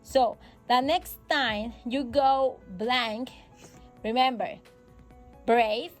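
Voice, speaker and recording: A woman's voice: fairly high pitch, extremely slow. A woman whose first language is Spanish, hosting a casual English-learning podcast. She is encouraging, repeats key phrases and makes small grammar slips. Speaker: English teacher and podcast host. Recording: faint music in the background.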